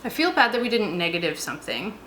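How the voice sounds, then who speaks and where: A woman's voice: medium pitch, very animated, fast talker, fairly bright tone. A woman co-hosting a tabletop roleplaying show in loose, casual conversation. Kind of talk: casual chat. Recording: roomy echo, faint background noise.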